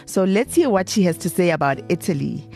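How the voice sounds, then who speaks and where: A woman's voice: fairly low pitch, expressive, brisk, warm tone, soft background music. A woman hosting a travel podcast, talking in a chatty way and laughing often. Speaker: podcast host.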